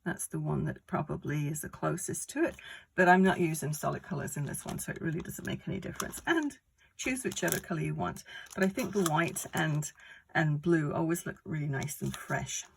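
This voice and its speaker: A woman giving a casual card-making tutorial, croaky from a cold, talking through each step in a chatty, rambling way. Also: low-pitched, animated, brisk, a little hiss or hum, rough recording. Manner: chatty, rambling step-by-step explanations with many fillers.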